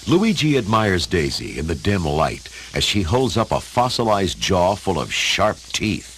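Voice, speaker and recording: A man's voice: deep voice, sing-song, a little slow, fairly bright tone, a little hiss or hum. A man giving theatrical scripted narration for a radio adventure story. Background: music.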